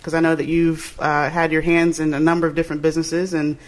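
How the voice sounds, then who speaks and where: A man's voice: a little high, completely flat delivery, fast, rough recording. A man hosting a marketing podcast, upbeat and peppered with filler ums and uhs. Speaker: podcast host.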